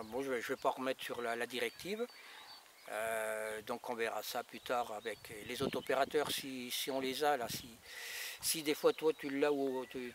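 A man in his fifties holds a relaxed, colloquial radio exchange outdoors, often repeating his phrases. His voice sits at a medium pitch. The camera audio is clearly noisy, with wind around it. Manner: repetitive, colloquial. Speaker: amateur radio operator. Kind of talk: casual two-way radio chat. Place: outdoors by a gravel drive in a rural garden. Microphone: camera a metre or two away.

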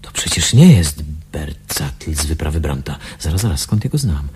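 An actor playing a restless, suspicious patient in a Polish science fiction radio drama, questioning and muttering to himself. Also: male voice, very deep voice, very animated, normal speed, darkish tone, a little hiss or hum. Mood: uneasy, suspicious. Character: restless, suspicious male patient in a sci-fi sanatorium.

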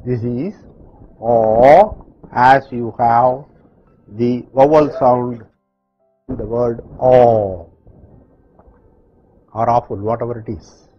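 Slow older man with South Indian accent. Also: mid-range voice, fairly even intonation, slightly rough, lo-fi sound, faint music behind. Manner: slow, deliberate explanations with pauses. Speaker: language teacher. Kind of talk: slow classroom instruction. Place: classroom with chalkboard.

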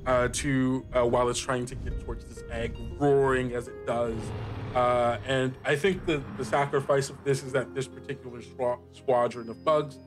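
A man's voice: medium pitch, steady tone. Casual man running a tabletop game over music. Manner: halting, many filler words. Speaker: game master. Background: music bed.

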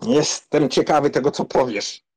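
An actor playing a hot-tempered, proud young count, impatient and ironic, in a dramatized audiobook. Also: male voice, medium pitch, normal speed, darkish tone, slightly rough, natural voice, poor audio. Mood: angry, impatient.

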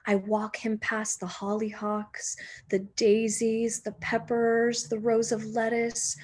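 Female storybook reader, teacher type: husky, slow, gentle and expressive. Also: a little high. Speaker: teacher reading a picture book aloud. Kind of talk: expressive children's storybook read-aloud.

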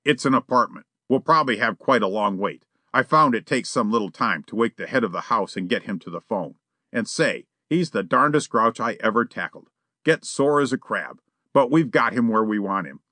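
A man reading as a relaxed, slang-talking burglar in an old-fashioned storybook. He rambles in a casual, cheeky way. His voice is bright, with a dry, close sound. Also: lowish voice, moderate pace, no room sound. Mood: wheedling.

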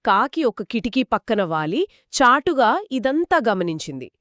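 A woman co-hosting scripted children's storytelling in dialogue, with an expressive delivery. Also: a little high, a little slow, dry recording, studio quality. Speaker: co-host storyteller.

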